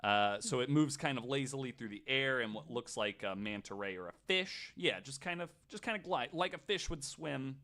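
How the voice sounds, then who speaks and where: A man co-hosting a podcast, telling a story casually in a skeptical, wry, somewhat halting way. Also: mid-range voice, animated, sharp tone. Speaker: podcast co-host.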